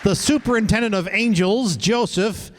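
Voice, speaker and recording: A man's voice: sits high, moderate pace, a faint crowd. A man announcing cast credits live on stage at a show. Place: live venue with an audience. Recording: noisy live audience recording.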